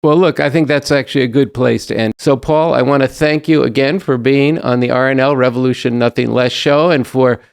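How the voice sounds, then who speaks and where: A man's voice: mid-range voice, fairly even intonation, fairly quick, vocal fry, few pauses, clear, resonant tone. A man hosting a political talk show, speaking as the host to guests and viewers.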